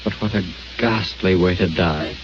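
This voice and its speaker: A man's voice: low voice, moderate pace, slight background noise, lightly acted. An actor playing a weary, sober man of the household who speaks in a subdued, grave way in a 1940s radio play. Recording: muffled old radio broadcast.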